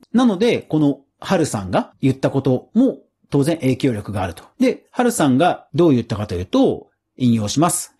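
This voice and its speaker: Animated man with a soft, husky voice. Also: a little high. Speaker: podcast host. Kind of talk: casual solo news commentary.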